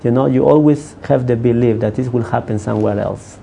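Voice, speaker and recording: A man working as a counselor whose first language is not English, answering interview questions in a halting way, with many restarts. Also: medium pitch, gravelly, dark tone, a little hiss or hum.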